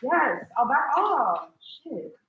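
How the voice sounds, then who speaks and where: A woman's voice: sits high, sing-song, slow, lo-fi sound, exaggerated voice. A woman playing a blunt, defensive young female theatre performer in a staged play reading, with emphatic delivery. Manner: emphatic, sweary banter. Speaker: drama student actor. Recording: roomy, distant stage microphone.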